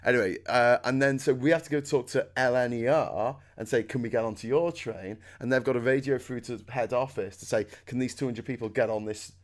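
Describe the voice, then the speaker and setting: A middle-aged man with a Scouse accent, hosting a casual chat in a loud, excitable way and laughing often. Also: medium pitch, brisk, hardly any pauses. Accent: Liverpool (Scouse). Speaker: host.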